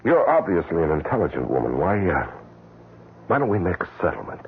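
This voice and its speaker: A murderous ladies' man in a 1970s American radio play, coolly menacing and evasive. His low, gravelly voice swings widely in pitch. The recording is tinny and noisy, like old radio. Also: big pitch swings, a little fast, heavily acted. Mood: wary, evasive. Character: smooth-talking, murderous adult male philanderer. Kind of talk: 1970s radio drama acting.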